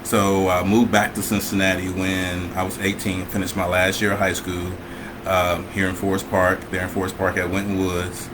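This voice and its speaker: A middle-aged man from Michigan, a chef, talking about his life in a relaxed, hesitant way with many fillers. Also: low voice, moderate pace. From Michigan, USA. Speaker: guest, chef. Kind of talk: casual conversation on a livestream. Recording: noisy home recording.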